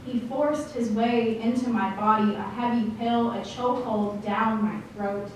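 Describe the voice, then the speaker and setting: A woman performing slam poetry, speaking deliberately with dramatic phrasing and pauses. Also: a little high, fairly even intonation, far from the mic. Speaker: slam poet. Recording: echoey room from afar, faint noise.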